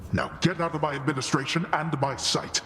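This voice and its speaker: A man's voice: on the high side, steady tone, fairly quick, sharp tone, in a large hall, a little hiss or hum. A man voicing a stern, contemptuous male god of the dead scolding his son in a video game. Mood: scornful. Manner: cutting, contemptuous, commanding.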